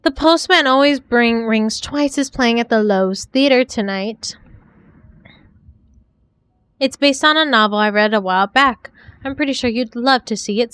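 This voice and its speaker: A woman reading aloud as a young 1940s woman writing tender love letters, in a soft, heartfelt way. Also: on the high side, clean tone, dry recording, close to an everyday voice. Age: young adult.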